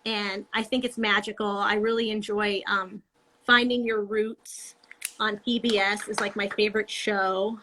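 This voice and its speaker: A woman's voice: medium pitch, little pitch movement, a little slow, sharp tone, slight background noise, poor audio. A middle-aged woman, a guest artist, chatting casually and animatedly over a video call, laughing often as she talks. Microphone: handheld mic on a video call.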